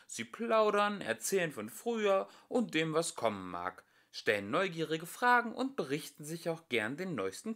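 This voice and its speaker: A young man narrating a children's audiobook in an expressive, theatrical way, addressing his listeners directly. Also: fairly high pitch. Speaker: amateur audiobook reader.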